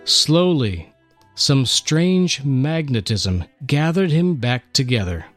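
Dramatic man narrating over soft music. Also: animated. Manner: slow and solemn, drops low at line ends. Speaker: radio host reading a dramatic story.

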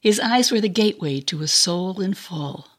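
A woman reading a scripted dramatic narration in an expressive, storybook manner. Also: fairly low pitch, normal speed.

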